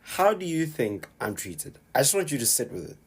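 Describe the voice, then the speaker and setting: A man telling stories in a relaxed podcast chat, often restarting his sentences and dropping slang. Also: fairly high pitch, moderate pace, husky. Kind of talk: casual chat.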